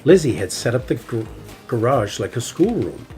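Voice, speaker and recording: A man reads a children's picture book aloud, his voice at a middle pitch but rising and falling with lively expression. Soft music plays faintly underneath. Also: normal speed. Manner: expressive, animated narration. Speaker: storybook reader. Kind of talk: children's story read-aloud.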